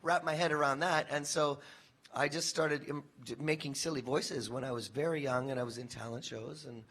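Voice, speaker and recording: A man, a voice actor and former stand-up comic, telling animated, rambling stories on a convention panel. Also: medium pitch, moderate pace. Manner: animated, rambling, self-interrupting.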